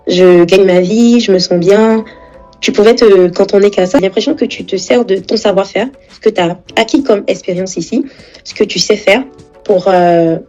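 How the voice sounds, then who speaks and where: A woman hosting a casual interview, asking questions in a lively, encouraging way and speaking directly to viewers. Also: medium pitch. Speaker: interview host.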